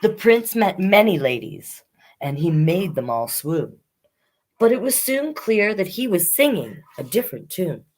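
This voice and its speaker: A woman's voice: fairly low pitch, sing-song, a little slow, a little mellow, a little hiss or hum. A woman hosting a children's storytime, reading picture books expressively with chatty asides to young listeners.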